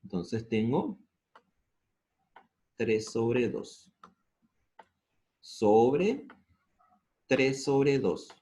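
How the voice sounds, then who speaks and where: A man's voice: medium pitch, big pitch swings, mellow. A man with a Paraguayan Spanish accent teaching integrals step by step, asking the class questions and answering them himself. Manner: asks the class questions and answers them, slows down over formulas. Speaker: math teacher.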